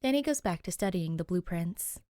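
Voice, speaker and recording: A woman reading fiction aloud as an expressive podfic narrator. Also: fairly low pitch, a little fast, no room sound, studio quality. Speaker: podfic reader. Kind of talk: expressive scripted story narration.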